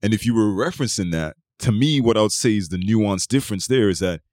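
Low-voiced argumentative man. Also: lively intonation, fast, darkish tone, dry recording, studio quality. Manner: long-winded, argumentative, restarts and repeats phrases. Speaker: podcast host. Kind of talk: casual podcast debate.

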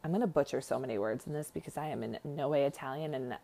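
A woman with a low voice and a slight lisp talks as a podcast host. She rambles casually, putting herself down with dry sarcasm and speaking bluntly. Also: fast, gravelly, mellow. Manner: rambling, self-deprecating, sarcastic, blunt with swearing. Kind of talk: casual true crime podcast monologue.

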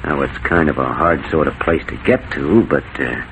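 An actor playing a scheming, folksy frontier swindler in a 1940s radio drama, on a noisy vintage recording. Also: male voice, extremely low pitch, expressive, moderate pace, vocal fry, a bit bright, full character voice. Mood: falsely helpful. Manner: folksy, conniving. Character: scheming, smooth-talking frontier swindler, bossy to henchman.

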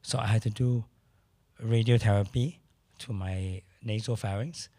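A middle-aged man with a hoarse voice, speaking candidly as a podcast guest in casual conversation. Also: on the low side, fairly even intonation, measured pace, dark tone, no room sound. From Malaysia. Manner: candid, matter-of-fact, occasional restarts.

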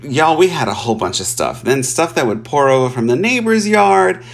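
Man in his 40s, from Puerto Rico, casual life storytelling, lively up-and-down voice, laughs a lot. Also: fairly high pitch, normal speed, creaky. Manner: animated and chatty, laughs often, addresses listeners as y'all.

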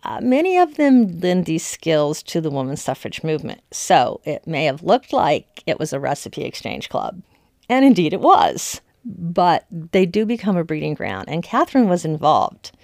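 Low-voiced woman explaining history. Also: big pitch swings, moderate pace, a little mellow, no room sound, studio quality. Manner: emphatic, conversational. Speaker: historian commentator. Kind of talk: informal explanatory history commentary.

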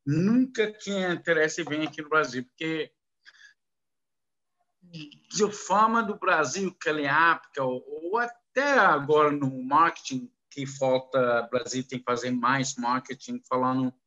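A man speaking slow, halting, broken Portuguese as a non-native speaker, answering interview questions with many restarts. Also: a little high, sharp tone, highs cut off. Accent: foreign, non-native Portuguese. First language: not Portuguese. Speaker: interviewee, businessman.

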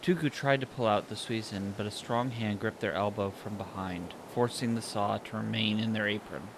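A man's voice: fairly low pitch, fairly even intonation, moderate pace. A man narrating a scripted fantasy audio story, with ambient sound design behind him. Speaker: narrator and author. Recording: clear background noise, ambient sound design. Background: ambient sound effects.

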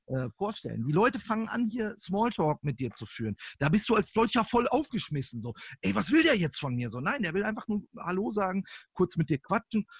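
A young man with a high, husky voice talks loosely on a podcast, restarting his sentences and filling gaps with ums. The sound is dry and close. He rambles with animated energy. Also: no echo. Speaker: podcast co-host. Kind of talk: casual chat. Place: living in France for a year.

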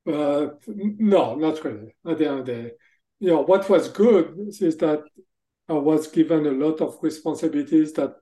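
French-accented man, mellow voice. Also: fairly high pitch, normal speed, poor audio. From France. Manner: hesitant, restarts phrases, laughs between thoughts. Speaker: guest, tech executive. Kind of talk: casual interview answers.

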